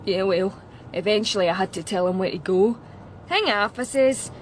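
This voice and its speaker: An actress playing a shaken, chatty young woman musician with a Glasgow Scottish accent, talking in a halting, blunt, colloquial way in a radio drama. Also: mid-range voice, slight background noise, close to an everyday voice. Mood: wry, blunt. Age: young adult. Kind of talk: naturalistic radio drama acting.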